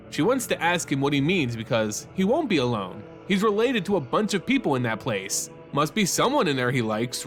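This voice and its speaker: A man's voice: fairly high pitch, fairly bright tone. A man hosting a fantasy lore channel, reading a story as dramatic scripted narration.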